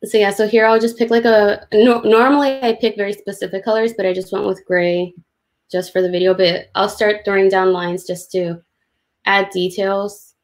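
A woman's voice: medium pitch, little pitch movement, moderate pace. A woman illustrator giving informal, self-deprecating commentary on a drawing demo, explaining as she goes on a video call.

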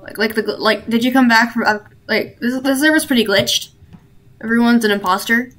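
A teenage girl streaming a social VR game, chatting casually and reacting excitedly. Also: fairly high pitch, brisk. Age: teens. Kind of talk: casual gaming chat.

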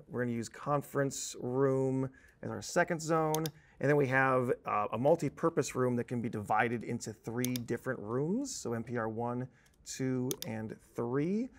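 A man in his thirties giving an informal, conversational video tutorial as a training instructor, explaining things on a lapel mic in a studio. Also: medium pitch. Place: indoor presentation studio at a desk.

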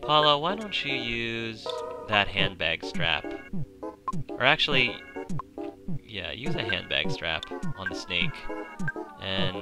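Animated let's play host. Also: medium pitch, slow, pauses often. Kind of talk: casual gameplay commentary. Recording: game music under voice.

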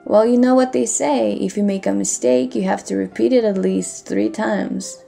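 A woman's voice: mid-range voice, fairly even intonation, moderate pace, creaky, mellow, faint music behind. A woman playing a bold, teasing pirate captain in an audio roleplay, speaking in a cocky, flirtatious manner. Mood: defiant. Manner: cocky, flirtatious, addressing listener with pet names.

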